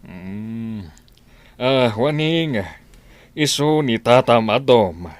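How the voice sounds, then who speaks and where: A man with an Ilocano accent playing a deliberate office boss in a comedy radio drama, humming before he replies. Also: mid-range voice, lively intonation, dry recording. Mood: puzzled.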